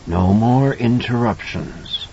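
A man's voice: animated, slow pace, mellow, lo-fi sound, slight background noise. Man voicing a sinister mocking monster thing. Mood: menacing whisper. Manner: mocking, menacing whisper turning to shrill panic.

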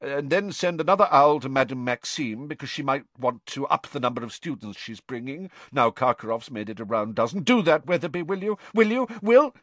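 A man's voice: fairly high pitch, lively intonation, moderate pace, a bit bright, no echo, voice pushed up. A man doing a raving, worn-out old official. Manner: broken, gasping, fragmentary speech. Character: deranged exhausted older male official, raving.

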